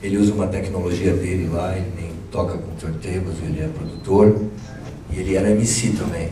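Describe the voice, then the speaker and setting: A man moderating a workshop, introducing a question-and-answer session in a halting way with restarts. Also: deep voice, fairly even intonation, noisy background. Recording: echoey room, mic far, crowd behind.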